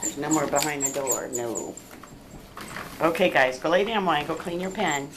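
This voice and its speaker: A woman's voice: sits low, normal speed. A woman filming her puppies in a small bathroom at home, talking to them in a cooing, baby-talk way on a handheld camera. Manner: coos and baby-talks to the puppies. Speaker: dog breeder. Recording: loud puppy noise behind.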